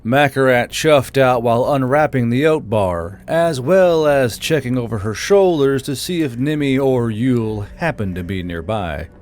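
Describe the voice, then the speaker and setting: A man's voice: medium pitch, lively intonation, moderate pace, hardly any pauses, a little mellow, slight background noise. A man narrating a scripted fantasy story.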